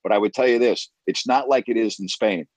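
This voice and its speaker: Emphatic low-voiced man, dry sound. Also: fairly quick, no echo. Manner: emphatic, repeats himself for stress. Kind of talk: casual chat.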